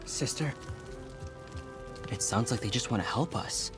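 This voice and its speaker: A man playing a gentle young soldier. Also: mid-range voice, lively intonation, measured pace, music in the background, close to an everyday voice. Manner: gentle, conciliatory. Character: gentle young male squad soldier, reasonable.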